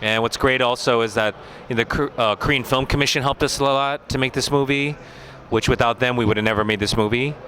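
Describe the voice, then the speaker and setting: An American man speaks as a film director in a relaxed, unhurried festival interview, often pausing with fillers. His voice is bright and clear at a middle pitch. Faint traffic noise is in the background. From United States. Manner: relaxed, thoughtful, many fillers.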